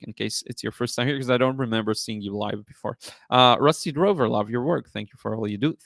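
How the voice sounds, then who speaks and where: A man hosting a casual live stream as an art teacher, chatting in a rambling, appreciative way, his voice slightly hoarse from talking. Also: medium pitch, a bit bright, dry recording, clean studio sound. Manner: rambling, appreciative, often thanking viewers. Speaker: live stream host, watercolor painting teacher.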